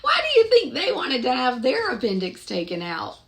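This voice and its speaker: A woman reading a picture book aloud to children in an expressive, deliberate way, pausing to ask her young listeners questions. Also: high voice, a little hiss or hum.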